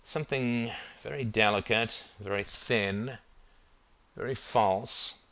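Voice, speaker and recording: A man voicing a brooding, sardonic young boy band singer who talks in halting, musing phrases as in an audiobook. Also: medium pitch, pushed and bright. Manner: halting, muttering, thinking aloud.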